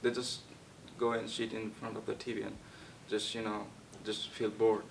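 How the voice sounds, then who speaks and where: A young man from Nepal, a guest actor, answering interview questions in a halting way with many fillers and restarts. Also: monotone, gravelly. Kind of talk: casual interview. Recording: distant mic with faint rumble.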